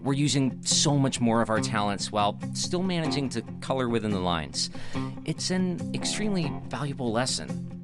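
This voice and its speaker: Expressive, earnest young theater director played by an actor. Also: male voice, mid-range voice, normal speed, over music, natural voice. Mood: upbeat, reflective. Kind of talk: audio drama acting, dialogue and reflective monologue.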